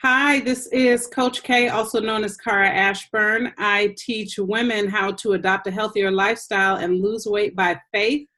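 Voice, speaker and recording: A woman hosting a podcast as a health coach, talking in an enthusiastic, unhurried way. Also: mid-range voice, fairly even intonation, bright, forceful tone. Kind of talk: casual podcast chat.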